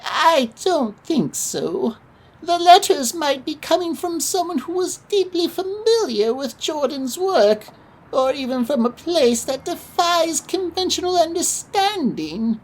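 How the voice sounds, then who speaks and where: Elderly female librarian for audio drama, acted by a woman: high-pitched, unhurried, cautious and knowing. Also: expressive, rough recording. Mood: hesitant, intrigued.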